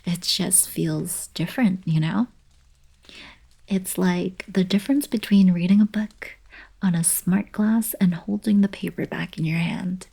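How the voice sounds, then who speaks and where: A woman playing a playful, teasing young alien princess girlfriend in an intimate ASMR roleplay, speaking softly and breathily. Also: on the low side, full character voice. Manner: soft, breathy, casual teasing delivery. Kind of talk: intimate ASMR roleplay, scripted monologue to the listener.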